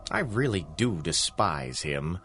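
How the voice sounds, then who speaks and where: An actor playing an arrogant, scornful criminal mastermind in a 1930s-style radio serial, with pompous, wordy, theatrical delivery. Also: male voice, deep voice, very animated, takes it slowly, a bit bright, slight background noise, full character voice. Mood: disdainful.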